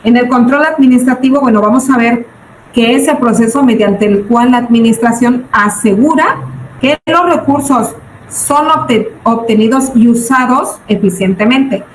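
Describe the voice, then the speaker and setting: High-voiced Mexican woman teaching, echoey room. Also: moderate pace. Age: 40s. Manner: didactic, asks and answers own questions. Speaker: business budgeting teacher. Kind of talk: informal lecture to students. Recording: roomy echo, background noise.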